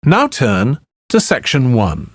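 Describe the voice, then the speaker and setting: Slow, formal male exam announcer. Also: mid-range voice, huge pitch swings, no room sound, clean studio sound. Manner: formal, deliberate. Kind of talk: scripted test instructions.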